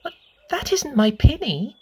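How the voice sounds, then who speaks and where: A woman's voice: sing-song, measured pace, warm tone. A woman voicing a curious, outspoken little girl in a storybook, with childlike, questioning delivery. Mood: puzzled. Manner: high, childlike questioning, plain-spoken.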